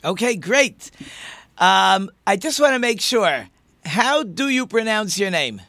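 A man's voice: high-pitched, sing-song, takes it slowly, sharp tone, no echo. A man, a rabbi, hosting an interview show in a friendly, chatty way.

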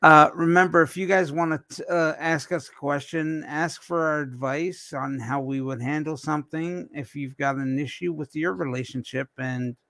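A man hosting a casual adult podcast chat, talking slowly and haltingly, often repeating words. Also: medium pitch, a bit bright, dry recording, clean studio sound. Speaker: host.

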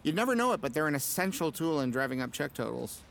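High-voiced, hapless nice guy. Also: very animated, fast talker, vocal fry, fairly bright tone, a little hiss or hum, heavily acted. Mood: flustered, good-natured. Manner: apologetic, eager to please. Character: hapless, apologetic, good-natured male low-level ad man. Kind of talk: audio drama comedy acting.

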